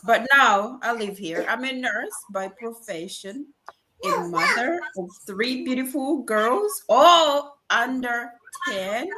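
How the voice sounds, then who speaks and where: Guest: Ugandan-accented woman, unhurried and eager, a bit high-pitched, on a hissy video call. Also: sing-song. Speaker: guest panelist. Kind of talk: self-introduction on a live panel. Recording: noisy video call audio.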